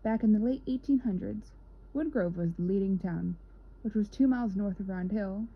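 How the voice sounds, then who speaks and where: A woman's voice: mid-range voice, normal speed, husky. A young woman, a student narrator, reading a scripted history piece. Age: young adult. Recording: dull lo-fi recording.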